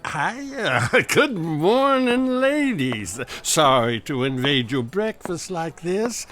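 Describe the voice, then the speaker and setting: An actor playing a scruffy, cheeky male street informant in a contemporary radio drama, with a halting delivery. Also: a little high, very animated, fairly bright tone, a little hiss or hum, heavily acted. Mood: sheepish, cheeky.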